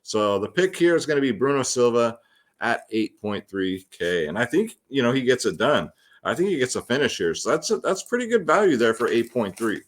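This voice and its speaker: A man's voice: mid-range voice, sing-song, fairly quick. Casual man giving slangy fight picks. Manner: slangy, addresses viewers as guys and man. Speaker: host of a fight picks and fantasy sports show. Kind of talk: casual solo fight predictions and betting commentary.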